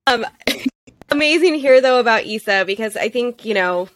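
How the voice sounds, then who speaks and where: A woman hosts an interview, asking questions and giving short, encouraging replies. Her voice is bright and a little high, and it sounds dry and close with no room echo. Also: animated, normal speed. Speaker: podcast host. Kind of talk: interview hosting.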